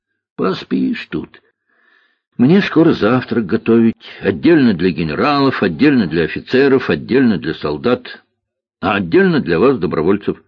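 Man playing a husky, dogmatic army cook woman. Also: lowish voice, very animated, normal speed, no echo, muffled recording, pitched above the performer's own voice. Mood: weary, grumbling. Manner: sighing, earnest, parroting slogans. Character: fat, kindly but dutiful army cook woman, dogmatic. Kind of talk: audiobook character voice, children's science fiction.

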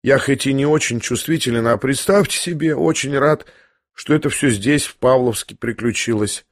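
A man reading an audiobook, voicing a young man who is dying, speaking quietly and hoarsely in a weary, ironic calm. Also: mid-range voice, no echo, studio quality, close to an everyday voice. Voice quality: hoarse, near whisper. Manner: slow, weary, faintly mocking calm.

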